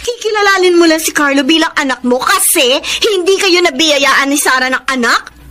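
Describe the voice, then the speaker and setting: A woman's voice: high voice, lively intonation, moderate pace, bright, forceful tone, slight background noise. An actress playing a bitter, defiant mother in a Filipino radio drama, confrontational and scornful in her delivery. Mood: scornful, firm.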